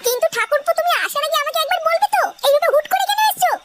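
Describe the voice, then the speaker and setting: Cartoon flirt, woman performing: village sister-in-law, piercingly high pitch, brisk pace, coy and suggestive. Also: expressive, heavy background noise. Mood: flustered. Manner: coaxing, suggestive. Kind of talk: comic cartoon dialogue.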